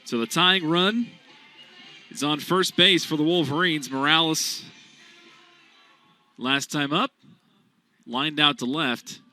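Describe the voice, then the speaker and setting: High, bright-voiced young play-by-play man. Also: takes it slowly, pauses often, soft background music. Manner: animated, punchy sportscaster calls. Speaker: play-by-play announcer. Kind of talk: radio baseball play-by-play commentary.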